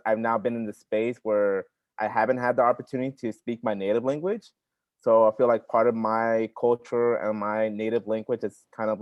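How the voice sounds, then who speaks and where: A man whose first language is Spanish, sharing personal experiences earnestly in a workshop over a video call, with halting restarts. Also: lowish voice, no room sound, muffled recording. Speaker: workshop participant, credential student.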